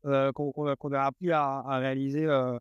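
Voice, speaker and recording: A startup co-founder talking casually at a table while eating hot sauces, halting and full of fillers and restarts. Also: male voice, mid-range voice, level tone, clean tone, dry recording, highs cut off. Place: room around a table.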